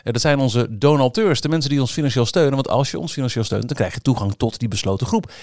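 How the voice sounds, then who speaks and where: A man's voice: medium pitch, fast talker, a little mellow, no room sound. Male podcast host, close and dry. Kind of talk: casual podcast presenting. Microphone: close podcast microphone.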